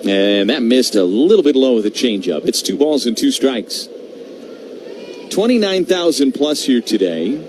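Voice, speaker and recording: Guy doing radio baseball play-by-play, mid pitch, pauses between calls, lots of background noise. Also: sing-song. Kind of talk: live baseball radio commentary. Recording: noisy live radio broadcast.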